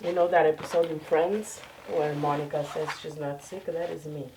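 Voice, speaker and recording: A woman's voice: deep voice, fairly even intonation, normal speed, recorded from a distance, noisy background. A woman with a slight cold that makes her a bit nasal, chatting casually through a sewing tutorial at home. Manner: chatty, self-deprecating, talks while working. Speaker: sewing vlogger. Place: home craft room.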